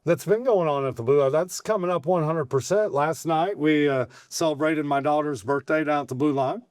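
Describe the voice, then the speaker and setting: A middle-aged man with a hoarse, fairly high voice rambles along in folksy, excitable bursts, stopping and restarting his sentences. The sound is dry and close, with no room echo. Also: brisk. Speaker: host and bar owner. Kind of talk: casual podcast chat.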